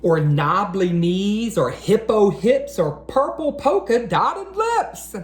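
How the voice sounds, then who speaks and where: A man reading aloud to children like an educator, with an expressive, encouraging manner that speaks straight to them. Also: sits high, takes it slowly. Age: adult. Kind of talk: animated children's read-aloud. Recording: roomy echo, faint background noise.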